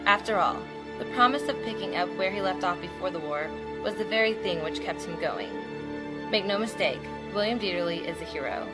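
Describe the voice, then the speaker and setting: A woman's voice: lowish voice, animated, a little fast, loud music behind the voice. A woman reading scripted documentary narration.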